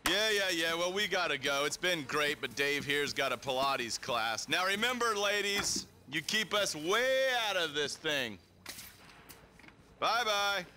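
An actor playing a glib, condescending government agent in a video game. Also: male voice, fairly high pitch, animated, a little slow, bright, forceful tone, a little hiss or hum, exaggerated voice. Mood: mocking, breezy. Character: smug, flippant male government agent, mocking.

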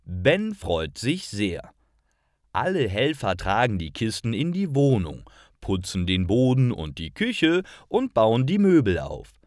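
Deep-voiced man narrating slowly and expressively. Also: no room sound, studio quality. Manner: clear, careful, expressive enunciation. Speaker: narrator of stories for language learners. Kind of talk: slow scripted story narration for learners.

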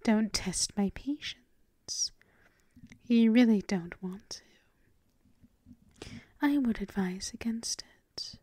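A woman voicing a seductive, dominant vampire mistress in a breathy, teasing, mostly whispered ASMR roleplay. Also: a little high, mellow, no room sound. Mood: teasing, stern. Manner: breathy, slow, teasing, coaxing. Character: seductive, dominant vampire mistress doting on her pet thrall.

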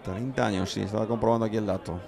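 A man's voice: on the low side, steady tone, very fast, vocal fry, music in the background. Male football commentator from Spain over crowd noise. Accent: Spanish from Spain. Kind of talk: live football play-by-play commentary. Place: outdoor football ground. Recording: outdoor stadium crowd noise behind.

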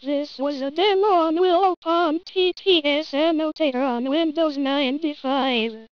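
A synthetic female text-to-speech voice playing an old woman in a robotic software demo. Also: high-pitched, unhurried, no echo. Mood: flat robotic. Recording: dull lo-fi computer audio.

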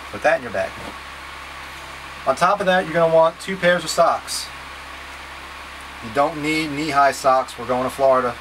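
A man in his thirties with a slightly high-pitched-to-middle, ordinary voice explains things step by step like a scout leader. He is plain-spoken and casually directive, talking to a camera a few feet away in a small indoor space, with a clear steady hum of noise behind him. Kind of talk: instructional packing talk to camera. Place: small wood-panelled boat cabin, indoors.

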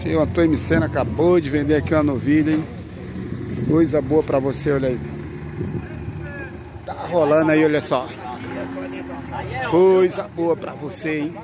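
A man's voice: a little high, steady tone, normal speed, pauses often. A man reporting casually from an outdoor cattle fair, upbeat and full of repeated catchphrases. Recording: loud outdoor noise.

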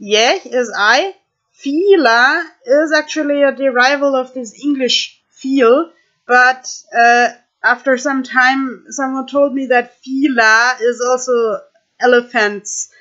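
German-accented young woman, halting and thoughtful, slightly high voice, small room, webcam sound. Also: fairly bright tone. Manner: slow, thoughtful, halting with many fillers. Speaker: vlogger. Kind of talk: casual talk to camera. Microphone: webcam at desk. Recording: dull lo-fi webcam audio.

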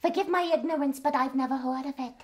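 An actress playing a naive, gushing and polite admirer in a theatrical comedy sketch. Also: female voice, high voice, quick pace, lightly acted. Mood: awed, curious. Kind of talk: cabaret sketch comedy acting. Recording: echoey stage, distant mic, loud music.

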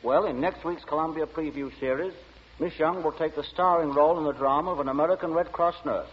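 An actor playing a droll, stubborn, deadpan director in 1940s radio acting style. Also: male voice, fairly high pitch, a little fast, slightly rough. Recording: muffled, reverberant 1940 radio recording.

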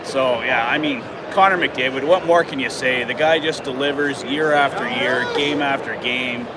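A man's voice: medium pitch, normal speed. A man, casually commenting on a game as a fan, picked up by a companion's phone in a busy arena entrance. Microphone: phone held by companion, slightly off. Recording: very loud urban crowd and traffic noise.

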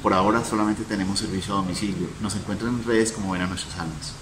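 A man's voice: lowish voice, fairly even intonation, fast. A man, a cook and manager, steadily describing his restaurant. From Colombia. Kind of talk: promotional self-introduction.

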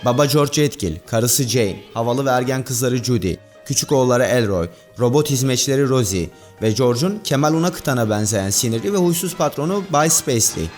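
A man's voice: mid-range voice, lively intonation, brisk, hardly any pauses, a little mellow. A man hosting and narrating a scripted countdown video. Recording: music bed under voice. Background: background music.

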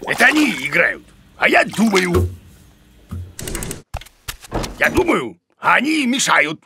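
High, wildly swooping cartoon grump. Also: male voice, huge pitch swings, normal speed, creaky, noisy background, full character voice. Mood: grumpy, blustering. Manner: blustering, muttering, self-assured. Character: grumpy comic bearded adult male cartoon teacher creature, bumbling know-it-all. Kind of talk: animated children's cartoon acting.

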